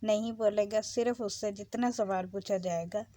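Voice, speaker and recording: A woman with a Pakistani accent speaks as a law teacher. Her voice is mellow and dark-toned, at an ordinary middle pitch. She lectures in an explanatory way, working through formal legal text. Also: fairly even intonation, normal speed, no room sound.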